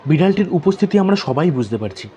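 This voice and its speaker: Man telling horror, clearly noisy recording. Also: sits high, very animated, rapid-fire, mellow, lo-fi sound. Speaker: horror story narrator. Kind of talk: dramatic first-person horror storytelling.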